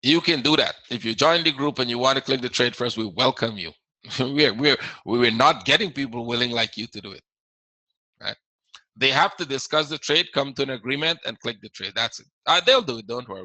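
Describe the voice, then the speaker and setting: Man with a Caribbean accent, bright tone, dry sound, chatty explaining, repeats words. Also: mid-range voice, brisk, no echo, rough recording. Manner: explains casually, repeats words, reads out viewer questions. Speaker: trading webinar host. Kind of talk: live webinar Q&A.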